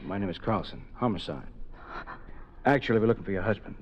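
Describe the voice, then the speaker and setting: An actor playing a blunt, skeptical homicide detective in a 1940s-style radio drama, curt and dry. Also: male voice, on the low side, quick pace, husky. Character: blunt veteran homicide cop. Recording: dull old radio recording.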